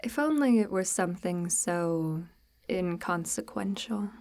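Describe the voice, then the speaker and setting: Casting: woman as coaxing forest witch for ASMR roleplay; mellow, breathy, near-whispered, very unhurried, formal, softly menacing. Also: fairly low pitch, expressive. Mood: coaxing, eerily gentle. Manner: unhurried, formal, archaic-tinged, gently menacing. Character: soft-spoken, sinister, coaxing forest witch luring a lost traveler. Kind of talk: ASMR audio roleplay, slow intimate monologue.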